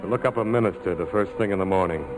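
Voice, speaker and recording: A man plays a cynical, worldly military skipper in a low voice, clipped and wry. The sound is a 1940s radio broadcast with music underneath. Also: fast, lightly acted, poor audio. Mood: curt, sardonic. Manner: terse, hard-boiled, wry. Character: tough, cynical army-major skipper, cool and worldly. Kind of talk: 1940s radio drama acting.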